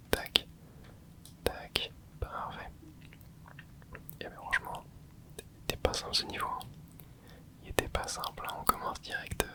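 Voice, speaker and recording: Young male ASMR gamer, steady close whisper, laid-back play-by-play. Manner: soft close whisper, relaxed running reactions. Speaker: ASMR gaming channel host. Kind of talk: whispered ASMR gameplay commentary.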